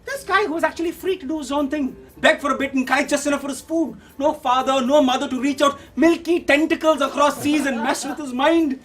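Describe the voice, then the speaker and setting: Man acting a high-voiced, theatrical young Indian man. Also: fairly quick, few pauses, distant mic, faint music behind. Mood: reflective. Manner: theatrical, declamatory, swinging from hushed to shouting. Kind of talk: dramatic monologue storytelling.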